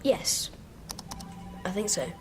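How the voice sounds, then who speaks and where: A woman's voice: medium pitch, big pitch swings, takes it slowly, a little hiss or hum. A woman playing an anxious, secretive twelve-year-old British schoolboy in an audio drama, answering in hesitant, clipped phrases. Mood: guarded, evasive. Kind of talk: naturalistic audio drama acting.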